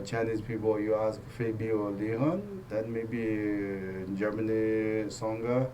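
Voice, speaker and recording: A man with a Tibetan accent giving a Buddhist teaching in simple, broken English, repeating phrases and joking gently. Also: fairly low pitch, a little hiss or hum, rough recording. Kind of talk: dharma teaching talk.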